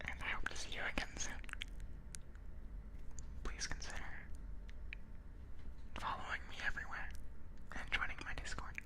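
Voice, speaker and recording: A man whispering softly and breathily, chatting gently to viewers as an ASMR streamer. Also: moderate pace, pauses often.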